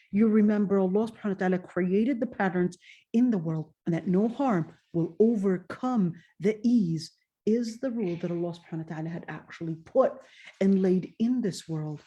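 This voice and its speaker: A woman lecturing on faith and hardship, speaking earnestly, slowing down on emphatic phrases and reciting Arabic verses. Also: medium pitch, mellow. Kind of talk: Islamic lecture.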